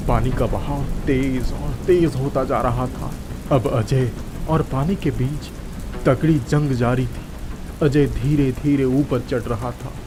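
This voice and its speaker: A man's voice: mid-range voice, noisy background. A man narrating a scripted children's moral story as a storyteller.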